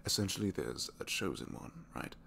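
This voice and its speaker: A man playing a captured supervillain in an intimate ASMR roleplay, a gentle, bookish dreamer who speaks softly and hesitantly, often sinking toward a whisper. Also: low voice, big pitch swings, fairly quick, vocal fry, slight background noise, exaggerated voice. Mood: geeky, wistful. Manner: hesitant, soft-spoken, rambling, often dropping to whispers.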